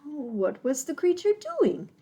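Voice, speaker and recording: A woman voicing a timid male weasel with a high, squeaky, shrill voice. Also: big pitch swings, measured pace, dark tone. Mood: scared.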